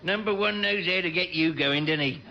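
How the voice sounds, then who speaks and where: An actor playing a cheeky, teasing sailor with a droll, drawn-out delivery in an old radio sitcom. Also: male voice, on the high side, bright, forceful tone, muffled recording. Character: cheeky, slow-talking male sailor, teasing sidekick. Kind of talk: 1950s-60s British radio sitcom acting. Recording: noisy, hissy old radio comedy recording.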